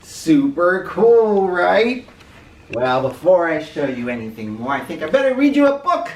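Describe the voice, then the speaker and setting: A man hosting an educational read-aloud for children, speaking directly to them with clear emphasis and questions. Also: on the high side, very animated, a little slow. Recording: roomy, distant mic with faint noise.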